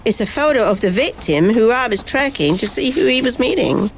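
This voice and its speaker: A woman plays a brisk female FBI agent in an amateur radio drama. She is secretive and commanding, with a higher than average voice. Noise sits behind her. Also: sing-song. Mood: accusing. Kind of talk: amateur radio play acting. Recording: noticeable background noise.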